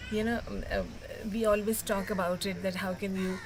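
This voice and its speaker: A woman from Pakistan, reflecting earnestly in an interview, halting with fillers. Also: mid-range voice, level tone, noisy background. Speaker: meeting participant. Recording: loud crowd chatter behind.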